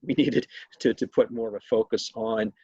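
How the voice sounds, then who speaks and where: A man conducting a conversational interview as host, speaking haltingly and often repeating his words. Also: fairly low pitch, steady tone, mellow, slightly rough. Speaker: state legislator hosting an interview. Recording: roomy, echoey, muffled video call sound.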